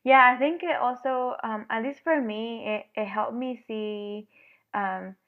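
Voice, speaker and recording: A young woman from Venezuela, a flute player, answering interview questions in a hesitant way with many pauses and ums. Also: on the high side. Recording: phone-like narrow sound.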